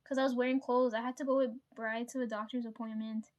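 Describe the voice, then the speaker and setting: A woman talks straight to her viewers in a high, bright register, winding through a story in loose casual chat. She swears freely, laughs often and keeps checking in with her audience. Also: little pitch movement, quick pace. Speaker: vlogger.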